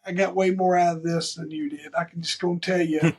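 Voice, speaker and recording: A man's voice: fairly high pitch, fairly quick. A man with a Southern accent who hosts a podcast, talking in an earnest way and repeating himself as he gets his words out. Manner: earnest, repeats words, speaks in a steady even tone. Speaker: podcast host. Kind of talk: podcast interview.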